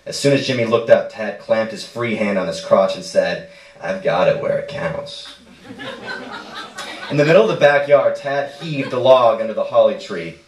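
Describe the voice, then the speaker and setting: A man reading a literary short story aloud at a live stage event. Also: on the low side. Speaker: story reader. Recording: echoey room, distant microphone.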